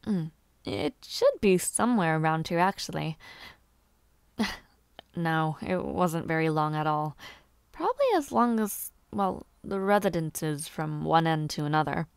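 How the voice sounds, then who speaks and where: A woman playing a gentle, shy and eager young noblewoman who aspires to knighthood, chatting softly and politely in an intimate audio roleplay. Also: sits low, expressive, a little slow, gravelly, smooth, no room sound, exaggerated voice. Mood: cheerful, guiding. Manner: soft-spoken, chatty, polite, slightly bashful, giggly. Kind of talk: intimate audio roleplay, one-sided conversation.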